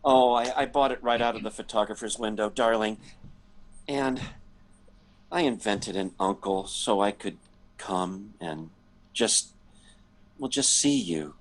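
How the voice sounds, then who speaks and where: A man's voice: lowish voice, measured pace, pauses often, close to an everyday voice. A man acting a nervous, hesitant middle-aged toy salesman in a 1940s-style radio drama. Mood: nervous confession. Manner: hesitant, stammering, flustered.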